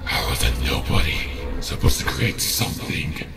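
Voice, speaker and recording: A man voicing a menacing, manipulative monster in a fan audio drama, with a gravelly, taunting delivery over music. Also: lively intonation, moderate pace. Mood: sinister taunting. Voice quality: deep, gravelly. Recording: music behind the voice.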